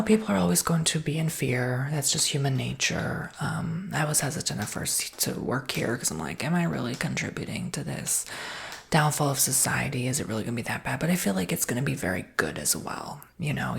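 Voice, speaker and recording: Low, creaky, soft-spoken ASMR woman playing a sales clerk. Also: full character voice. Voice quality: soft-spoken, partly whispered. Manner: rambling, informal, reassuring. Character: easygoing, reassuring salesperson for household robots. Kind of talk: ASMR roleplay, improvised customer-service chat.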